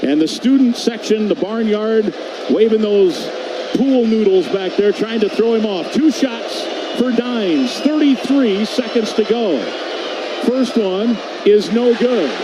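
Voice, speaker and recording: A man's voice: high-pitched, animated, slow pace. A man giving live radio-style basketball play-by-play as a commentator, with noisy arena ambience behind him. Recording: clear background noise.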